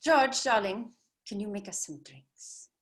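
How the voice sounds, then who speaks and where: An actress playing a gracious, self-assured and flirtatious glamorous inventor in a screenplay read. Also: female voice, medium pitch, a little slow, close to an everyday voice.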